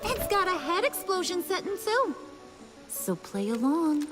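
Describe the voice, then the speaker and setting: An actress playing a gleeful, menacing villain sidekick in a video game. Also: female voice, extremely high pitch, very animated, measured pace, a bit bright, faint music behind, exaggerated voice. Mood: gleeful menace. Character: gleeful, menacing female villain sidekick, sing-song taunter.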